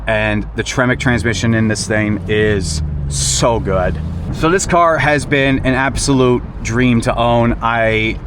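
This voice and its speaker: A man in his thirties vlogging casually from a moving convertible, relaxed and chatty with frequent fillers. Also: mid-range voice. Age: 30s. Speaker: YouTube creator. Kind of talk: casual vlog while driving. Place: inside a convertible car on the road. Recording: loud road noise in a moving car. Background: loud road and engine noise.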